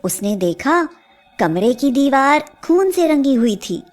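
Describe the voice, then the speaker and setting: Woman reading a kids fairy tale, very high voice, slow and measured. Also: big pitch swings, soft background music. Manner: measured storytelling. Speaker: storyteller. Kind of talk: scripted Hindi fairy tale narration.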